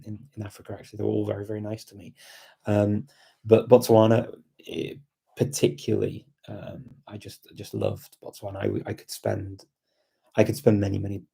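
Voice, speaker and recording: A man's voice: on the low side, creaky, dark tone, slightly rough. A man hosting a casual live chat, thinking aloud in a reflective, hesitant way and often repeating words as he goes. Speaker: livestream host and language learner.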